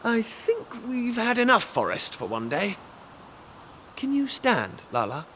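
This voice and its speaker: Bold young adventurer, very expressive pitch, unhurried pace, informal, protective, game dialogue. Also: male voice, a little hiss or hum, heavily acted. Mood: relaxed, reassuring. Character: plucky, cheeky, protective young adventurer prince. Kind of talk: video game voice acting.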